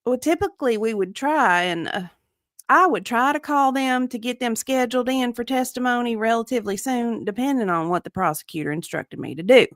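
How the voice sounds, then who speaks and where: A woman's voice: on the high side, fairly quick, dry recording, clean studio sound, lightly acted. A woman voicing an evasive, matter-of-fact victim advocate giving clipped, hedging answers in a comedic deposition reading.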